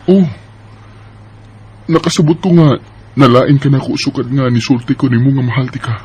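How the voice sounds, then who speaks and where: A man's voice: medium pitch, moderate pace, darkish tone, close to an everyday voice. A man with a Cebuano Filipino accent playing an emotional young man in a radio drama. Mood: hurt, accusing. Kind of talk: Bisaya radio drama acting. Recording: faint noise and ambience behind.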